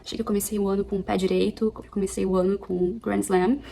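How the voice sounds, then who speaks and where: Young woman, casual book review vlog, animated, self-correcting, recorded at home. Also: medium pitch, fast, vocal fry, slight background noise. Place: apartment at home.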